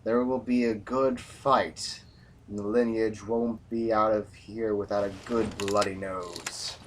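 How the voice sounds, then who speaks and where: Faint noise sits behind a man acting a hardened military commander in a sci-fi audio drama. His voice is on the low side, grave and formal, with a stoic, brooding defiance. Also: steady tone, slow pace. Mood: defiant, resolute.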